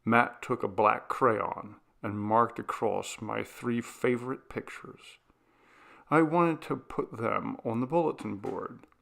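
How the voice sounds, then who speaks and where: A man's voice: mid-range voice, creaky, fairly bright tone. A man narrating a scripted ghost story as an audiobook reader.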